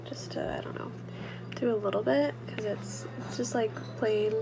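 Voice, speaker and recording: A woman vlogger chatting casually behind the scenes, soft-spoken and often breathy, nearly whispering. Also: medium pitch, normal speed, music in the background, rough recording. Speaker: ASMR video creator and vlogger.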